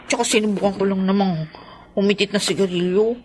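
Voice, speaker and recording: An actress playing a coy young housemaid in a radio drama. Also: female voice, mid-range voice, steady tone, fairly quick, a little mellow, lightly acted. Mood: sheepish.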